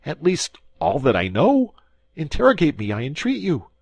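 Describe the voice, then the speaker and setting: Man doing a panicky landlord, shrill high voice, swooping pitch, frantic pleading, breathy edge. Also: huge pitch swings, moderate pace, no room sound, muffled recording, exaggerated voice. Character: frightened, pleading middle-aged male landlord.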